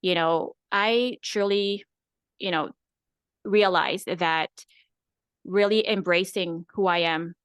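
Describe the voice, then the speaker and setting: A woman's voice: on the low side, slow pace, pauses often, a bit bright, clean tone, dry recording. A woman from the Philippines, a guest content creator chatting casually in an interview, filling her talk with "like" and "right?". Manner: chatty, many "like" and "right?" fillers.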